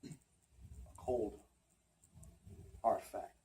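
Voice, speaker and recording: Actor playing anguished high-voiced man confessing love. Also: lively intonation, darkish tone. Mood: subdued confession. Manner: articulate, earnest delivery with dramatic pauses. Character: anguished man confessing forbidden love, torn, self-doubting rationalist. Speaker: acting student. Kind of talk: theatrical stage monologue. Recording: roomy, distant mic, faint background noise.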